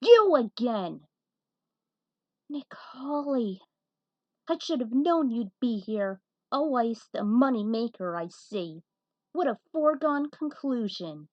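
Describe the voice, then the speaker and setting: A woman's voice: on the high side, expressive, takes it slowly, no echo. A woman voicing alarmed young adventurers in a fan fiction story, urgent and exclaiming. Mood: indignant. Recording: dull, muffled lo-fi recording.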